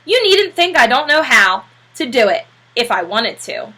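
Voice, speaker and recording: A woman's voice: high voice, sing-song, moderate pace, pushed and bright, husky, exaggerated voice. A woman voicing an argumentative, skeptical male repairman in a storybook, with folksy grammar and an exasperated, sarcastic manner. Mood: indignant.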